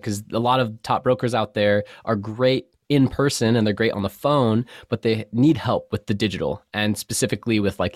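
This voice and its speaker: A man's voice: on the low side, no room sound, studio quality. A man from southern California hosting a podcast in a casual, conversational interview style. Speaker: podcast host.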